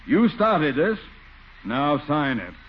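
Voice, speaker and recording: A man's voice: on the high side, big pitch swings, slow pace. Stuffy bossy neighbour man, very up-and-down delivery, muffled old radio. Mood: brusque, demanding. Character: brusque, stuffy, self-important rich male neighbour. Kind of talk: 1940s radio comedy acting. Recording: muffled old radio recording, faint noise.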